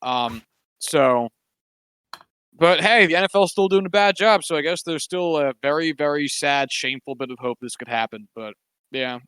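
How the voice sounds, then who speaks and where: A man's voice: fairly high pitch, moderate pace, fairly bright tone, clear, resonant tone, no room sound. A man co-hosting a casual sports podcast, talking in a wry, sarcastic, rambling way with plenty of fillers. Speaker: podcast co-host.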